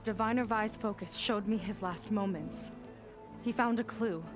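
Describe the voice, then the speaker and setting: A woman's voice: mid-range voice, normal speed, close to an everyday voice. A woman playing a proud, determined soldier in a video game, speaking in an earnest, insistent way. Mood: earnest, pleading. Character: determined, proud female soldier and navigator's sister, defiant. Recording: loud music under the voice.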